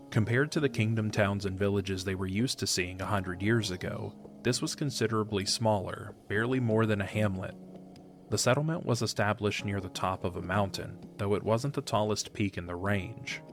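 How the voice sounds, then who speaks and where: A man reading scripted narration for a fantasy audio drama in a measured storytelling manner. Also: lowish voice.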